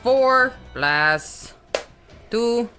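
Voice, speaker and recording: A woman's voice: fairly high pitch, expressive, drawn-out words, music in the background. A woman playing a tabletop role-playing game, bantering casually in a playful, wisecracking way.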